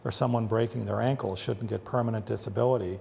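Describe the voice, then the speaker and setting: A man from the Chicago area, a factory owner, talking deliberately in an interview with frequent ums and uhs. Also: fairly low pitch, steady tone, vocal fry, dark tone, long reverb tail, slight background noise. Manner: deliberate, with many fillers. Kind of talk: interview soundbite.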